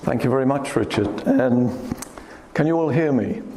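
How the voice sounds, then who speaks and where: This is a man with a quiet, gentle voice, giving a talk in a hall. His tone is mellow and his words ring in a roomy space. A faint hum sits behind him. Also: medium pitch, moderate pace. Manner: gentle, pointing out places on slides. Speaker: guest speaker, wildlife photographer. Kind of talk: public talk with slides. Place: hall at a club meeting. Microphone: speaker's microphone. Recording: echoey hall, faint room noise.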